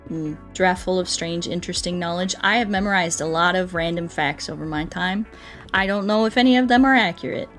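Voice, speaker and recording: A woman's voice: on the low side, a little fast, fairly bright tone. Playful woman chatting over music. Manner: playful, rambling, goofy. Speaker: game livestreamer. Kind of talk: casual livestream chat while playing.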